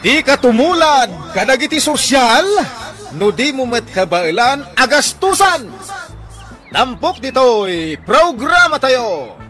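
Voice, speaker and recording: A man with an Ilocano accent announcing a radio drama in an emphatic, drawn-out, scripted style. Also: very high-pitched, very animated, pushed and bright. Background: music.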